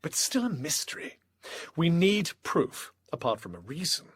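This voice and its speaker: An actor playing an authoritative, determined gentleman investigator, deliberate and grave, in a period audio drama. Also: a little high, huge pitch swings, breathy edge, full character voice. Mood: grave resolve.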